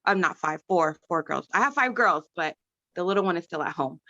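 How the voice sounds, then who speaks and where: A woman's voice: fairly low pitch, expressive, brisk, sharp tone. Chatty woman on a lo-fi video call. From California. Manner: chatty, rambling, self-correcting. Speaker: parent panelist. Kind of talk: casual video-call talk.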